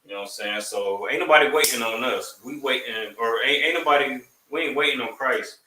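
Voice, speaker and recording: A man's voice: mid-range voice, fairly quick, sharp tone. A man opening a class, loose and rambling, leaning on "you know what I'm saying", and rising to emphatic, preachy lines. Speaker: class instructor. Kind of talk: opening remarks to a live class. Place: classroom, also streamed online. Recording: echoey room, mic at a distance.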